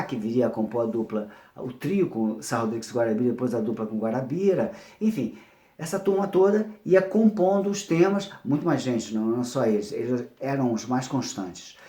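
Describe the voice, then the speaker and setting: A Brazilian man with a medium-pitched voice, recorded in a roomy, echoey space. He talks like an informal lecturer telling history off the cuff, circling back over phrases and wandering into side notes as he looks for the right word. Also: a little mellow. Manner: digressive, searching for words, repeats phrases. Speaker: music producer presenting a series on soap opera soundtrack history.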